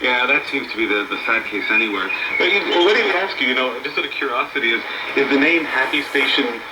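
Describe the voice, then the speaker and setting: A man's voice: medium pitch, animated, quick pace. Through very heavy shortwave noise and echo comes a male radio host. He talks informally and playfully, in a chatty flow that often doubles back to repeat phrases. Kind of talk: informal banter and interview on a radio show. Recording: noisy echoey shortwave radio broadcast.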